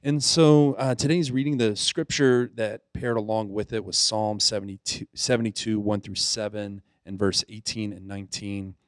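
A man's voice: fairly low pitch, fairly even intonation, moderate pace, hardly any pauses, no room sound, studio quality. A man in his thirties from Florida, a youth ministry director, speaking earnestly and plainly as he introduces himself and reads his reflection. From Pensacola, Florida. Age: 30s. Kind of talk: casual chat, then reading a reflection.

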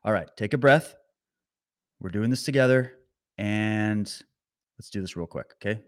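A man hosting a political commentary stream, talking straight to his viewers with animated sarcasm. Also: on the low side, measured pace. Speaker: commentary host.

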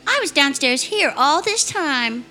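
A prim, worried secretary performed by a woman with a high voice and animated swings. It is played as a parody radio mystery over light music. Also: a little slow, exaggerated voice. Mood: anxious. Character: anxious, prim female secretary under suspicion. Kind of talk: comedic parody of classic radio play.

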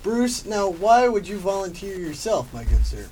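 A male performer voicing a polite, grumbling sloth, reading a little haltingly and mock-formally in an amateur radio comedy. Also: high-pitched, very animated, warm tone, soft background music. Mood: mildly indignant. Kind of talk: amateur old-time radio comedy drama. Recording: distant mic with faint background noise.

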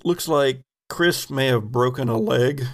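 A man narrating as game master of a tabletop role-playing game, conversational and improvised, pausing as he describes things. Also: medium pitch.

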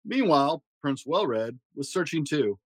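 A man reading a children's fairy tale aloud in a gentle storytelling manner. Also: sing-song, measured pace, dry recording. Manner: gentle storytelling with varied pitch for emphasis. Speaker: storybook reader.

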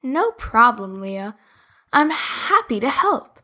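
A woman's voice: on the high side, very animated, slow pace, sharp tone, full character voice. A woman voicing a kind, helpful young girl in a storybook, speaking cheerfully and eagerly.

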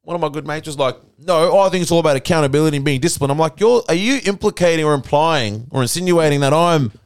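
A man, hosting a podcast in casual chat, telling stories in an animated way with lots of "you know". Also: on the high side, fast, clean tone, dry recording, studio quality. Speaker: podcast host.

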